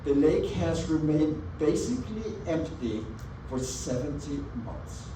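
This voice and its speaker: A man with a Norwegian accent presents to a roomful of people, speaking in a fairly high voice. He sounds earnest and measured and leans on his key words. The mic is set back from him in an echoey room, and noise can be heard behind his voice. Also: lively intonation. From Norway. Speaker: presenter at a community annual meeting. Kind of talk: presentation talk over slides. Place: meeting room with an audience. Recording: echoey meeting room, mic at a distance, audible background noise.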